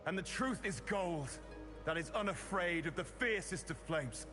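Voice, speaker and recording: An actor playing a solemn, defiant exiled truth-seeker in a game, with a grave, declamatory delivery. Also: male voice, sits high, expressive, measured pace, over music. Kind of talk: dramatic game voice acting.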